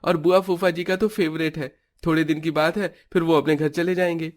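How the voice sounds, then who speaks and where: Man as coaxing young husband, high-pitched. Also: flat delivery, fairly quick, lightly acted. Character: placating young husband in a joint family. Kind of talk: Hindi cartoon story acting.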